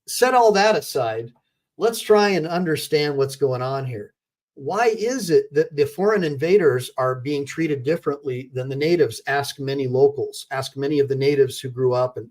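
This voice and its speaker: A man's voice: medium pitch, a little mellow. A man who is an attorney, hosting a casual livestream and talking straight to his viewers, repeating points emphatically with fillers and restarts. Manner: talks straight to viewers, reads chat aloud.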